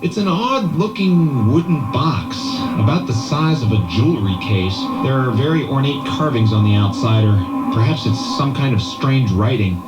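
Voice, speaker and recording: A man's voice: medium pitch, animated, moderate pace. A man delivering scripted dialogue in a radio skit, describing things aloud. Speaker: radio station general manager. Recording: echoey room, music behind.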